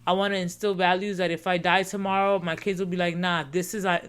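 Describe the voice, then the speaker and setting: A woman's voice: on the low side, little pitch movement, quick pace, clear, resonant tone. Earnest woman podcast co-host. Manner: earnest, reflective, repeats herself. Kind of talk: casual chat.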